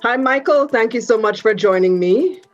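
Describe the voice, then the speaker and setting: A woman's voice: a little high, moderate pace, dull audio, faint music behind. A woman hosting a TV business interview, asking direct, pressing questions. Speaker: host.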